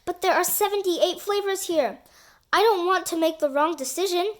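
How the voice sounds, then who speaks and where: Woman as a young storybook boy, high-pitched, excitable, scatterbrained, eager, agreeable, exclaiming. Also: normal speed. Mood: apologetic.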